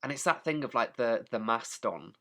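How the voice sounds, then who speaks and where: A man's voice: mid-range voice, lively intonation, moderate pace, bright, forceful tone. A man co-hosting a podcast, chatting informally and giving blunt opinions with some swearing. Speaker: podcast co-host. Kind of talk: casual chat, opinionated review.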